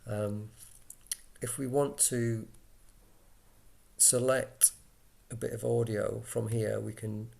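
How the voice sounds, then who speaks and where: A man giving an informal software walkthrough, explaining step by step in a conversational way as an instructor. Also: medium pitch, level tone, slow, creaky.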